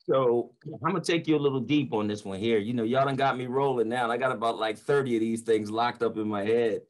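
A man's voice: medium pitch, brisk. Older American male speaker, informal, conversational introductions over a webcam call. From Philadelphia, United States. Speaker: motivational speaker, impersonator. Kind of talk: casual introduction to a talk. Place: small home office, indoors. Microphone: webcam video call.

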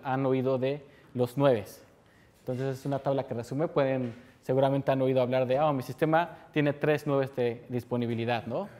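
A Mexican man in his thirties speaks at a mid pitch, walking a seated audience through a technical topic. He poses questions and answers them himself. He is picked up in a lecture room from a distance. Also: darkish tone. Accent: Mexican Spanish. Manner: explanatory, asks and answers his own questions. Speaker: conference speaker. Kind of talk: technical conference talk.

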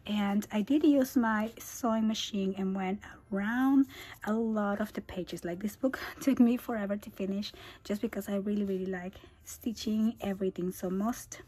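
A woman who is losing her voice talks through a handmade album at her craft table. Her tone is husky and breathy and often drops into a whisper. She keeps it casual and chatty, with small laughs between phrases. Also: medium pitch, moderate pace, warm tone, low-quality recording. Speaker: scrapbooking channel host. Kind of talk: craft tutorial walkthrough.

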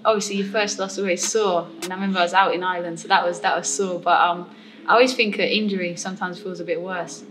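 Chatty woman boxer, lowish voice. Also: fast, poor audio. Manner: lively, chatty, laughs often. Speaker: professional boxer. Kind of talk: casual interview answers. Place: boxing gym, indoors. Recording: music playing under the voice.

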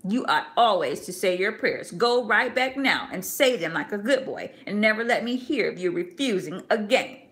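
A woman reading as a gentle devout mother. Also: on the high side, big pitch swings, measured pace, pitched above the performer's own voice, heavily acted. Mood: scolding. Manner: soft, earnest, coaxing. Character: gentle devout mother teaching her little son.

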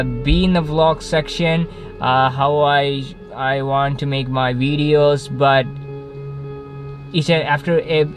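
Young Malayali man rambling in a car. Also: on the high side. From Kerala, India. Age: young adult. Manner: rambling, frequent restarts and self-corrections. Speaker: vlogger. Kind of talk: unscripted casual talk to camera. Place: inside a parked car. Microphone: camera on the dashboard facing him. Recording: background music under the voice. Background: background music.

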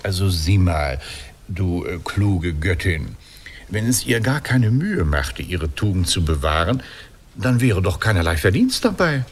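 Radio play: aging Greek hero, silver-tongued rogue, courtly, very animated delivery. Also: male voice, low-pitched, unhurried, breathy edge, full character voice. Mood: evasive, pensive. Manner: courtly flattery, hesitant self-justifying. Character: smooth-talking old Greek hero, courtly and roguish. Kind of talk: ironic radio play dialogue.

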